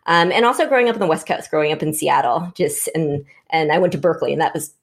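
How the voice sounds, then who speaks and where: Low, gravelly-voiced woman on a panel. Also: quick pace. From Vietnam. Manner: reflective, thinking aloud with frequent restarts. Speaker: panel guest. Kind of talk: conversational panel discussion.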